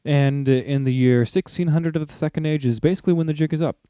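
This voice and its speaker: A man's voice: on the high side, fast, mellow, clear, resonant tone, no room sound, clean studio sound. A man co-hosting a podcast, casually discussing fantasy lore with geeky, slangy, sometimes sweary phrasing. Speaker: podcast co-host.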